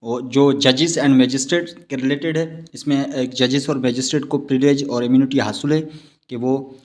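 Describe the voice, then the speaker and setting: A man's voice: medium pitch, steady tone, normal speed. A man with a Pakistani accent lecturing on law in an explanatory way, repeating and rephrasing his points. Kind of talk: explanatory online lecture with read-out passages.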